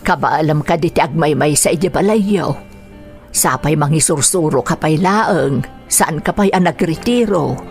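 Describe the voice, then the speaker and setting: A woman playing a caring, concerned middle-aged older sister with a creaky voice in an Ilocano radio drama. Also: low voice, measured pace, darkish tone, soft background music.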